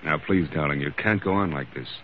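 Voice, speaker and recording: Low-voiced steady friend, old radio. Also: male voice, fairly quick. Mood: soothing, urgent. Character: steady, sympathetic male friend, quietly urgent. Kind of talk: old-time radio drama acting. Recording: tinny, noisy old radio broadcast.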